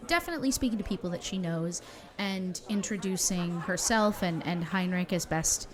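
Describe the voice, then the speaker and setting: A woman player in a tabletop game, animated as she describes her character's actions. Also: on the low side, moderate pace, a crowd in the background. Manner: animated, lots of likes.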